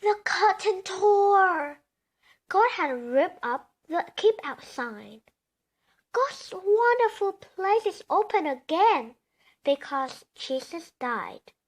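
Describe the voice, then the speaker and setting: A woman's voice: very high voice, big pitch swings, takes it slowly. A female reader narrating a children's bedtime story aloud.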